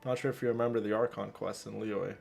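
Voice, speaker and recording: A man livestreaming a game with casual, chatty commentary, often halting and repeating words. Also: lowish voice, steady tone, creaky, darkish tone, faint music behind.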